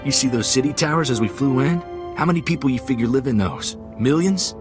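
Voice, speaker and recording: An actor playing an eager, friendly gunslinger sidekick in a video game, with an easygoing, folksy way of talking. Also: male voice, mid-range voice, expressive, a little fast, music in the background, close to an everyday voice. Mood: chatty, eager.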